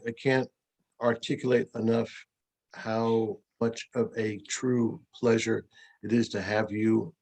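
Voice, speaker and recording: Man hosting an interview, gravelly, husky, low voice, effusive. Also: darkish tone, rough recording. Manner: effusive, repeated compliments. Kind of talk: video call interview.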